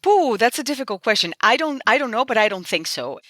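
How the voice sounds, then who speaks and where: A woman's voice: fairly high pitch, big pitch swings, quick pace, fairly bright tone, no echo, studio quality. A middle-aged woman from Spain, a member of the European Parliament, explaining her views earnestly in an interview. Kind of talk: interview answers.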